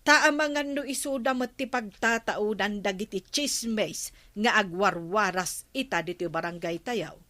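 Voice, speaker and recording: A woman's voice: medium pitch, measured pace, bright, forceful tone. A woman with an Ilocano accent playing a nosy, blunt, exasperated villager in a radio comedy drama. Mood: exasperated, scolding.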